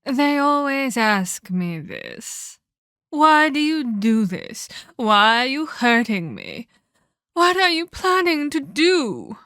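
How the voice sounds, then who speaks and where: Cruel ancient female vampire, played by a woman, for ASMR drama: very slow, close and dry, condescending menace. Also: high voice, very animated, fairly bright tone, clear, resonant tone, no room sound, full character voice. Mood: menacing, possessive. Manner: menacing, condescending, deliberate theatrical delivery with whispered emphasis. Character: cruel, domineering ancient female vampire scolding her captive pupil. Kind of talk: dramatic ASMR roleplay acting.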